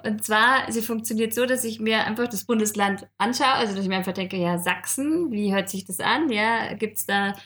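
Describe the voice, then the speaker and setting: A woman co-hosting a podcast, chatty and lively, laughing often as she explains. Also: mid-range voice, normal speed, few pauses. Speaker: podcast co-host. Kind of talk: casual podcast chat.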